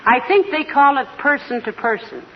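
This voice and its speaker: An actress playing a coquettish, good-humored housewife in a playful 1940s radio comedy. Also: female voice, high voice, expressive, normal speed. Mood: coquettish, teasing. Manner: playful, teasing, lilting. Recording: muffled, noisy 1940s radio recording.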